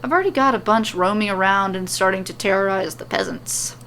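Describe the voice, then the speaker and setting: A woman's voice: mid-range voice, a little fast, a bit bright, slight background noise, lightly acted. A woman voicing a nonchalant female necromancer in an audio story, casual and offhand. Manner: offhand, shrugging.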